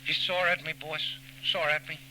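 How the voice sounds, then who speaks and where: Sharp-voiced, dim-witted radio henchman. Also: a little high, little pitch movement, normal speed, a little hiss or hum, low-quality recording. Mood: needy. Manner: repeats himself, ungrammatical tough-guy talk. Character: eager, dim-witted male henchman, childishly devoted to his boss. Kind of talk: 1940s radio drama acting. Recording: humming old 1940s radio recording.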